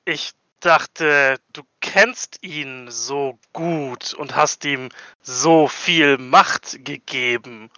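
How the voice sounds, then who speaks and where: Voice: mid-range voice, drawn-out words, pushed and bright, no echo, highs cut off. A player in a tabletop game podcast bantering casually in a cheeky, slangy way.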